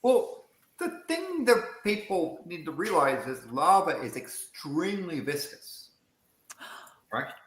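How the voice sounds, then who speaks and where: Animated man stumbling over words, echoey room. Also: fairly high pitch, slow pace. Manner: lively explainer who stumbles and repeats words. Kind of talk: casual chat on a stream. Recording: echoey room with faint noise.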